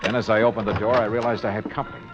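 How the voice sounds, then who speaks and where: An American actor playing a wry, cool-headed hardboiled investigator in a 1950s radio drama, with clipped, slangy delivery. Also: male voice, fairly low pitch, steady tone, racing, close to an everyday voice. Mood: wry narrating. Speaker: lead actor and narrator. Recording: dull 1950s radio recording, music behind.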